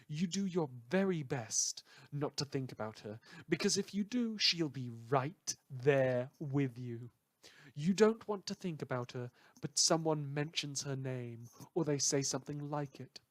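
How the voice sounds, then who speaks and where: A man playing a brooding, dramatic host of a post-apocalyptic town radio show, delivering theatrical monologues with heavy pauses. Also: fairly high pitch, dry recording. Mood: eerie, brooding. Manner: theatrical, dramatic pauses, swinging from hushed to loud. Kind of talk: fictional community radio broadcast monologue.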